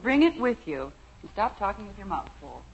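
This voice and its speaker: An American woman acting a brisk, firm, motherly housewife in a 1950s radio sitcom. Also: mid-range voice, very animated, highs cut off. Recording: old radio recording, faint noise.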